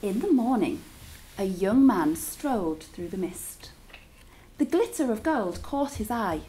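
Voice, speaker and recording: Woman, storybook narrator for children. Mid-range pitch, deliberate and dramatic, stretches key words. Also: very animated, dark tone, slight background noise, poor audio. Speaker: teacher reading a story aloud to children.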